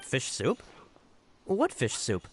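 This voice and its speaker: Earnest, flustered subordinate, swinging pitch. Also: male voice, huge pitch swings, takes it slowly, a little hiss or hum. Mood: flustered, insistent. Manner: dramatic, flustered, emphatic. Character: earnest, anxious, deferential young male subordinate agent. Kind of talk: video game voice acting. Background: game music.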